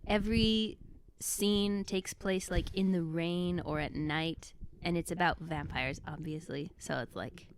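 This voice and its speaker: A woman's voice: on the low side, steady tone, unhurried, a little hiss or hum. A woman of twenty-one chatting casually as a podcast co-host, laughing often and saying "like" a lot. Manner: giggly, uses "like" a lot. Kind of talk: casual chat.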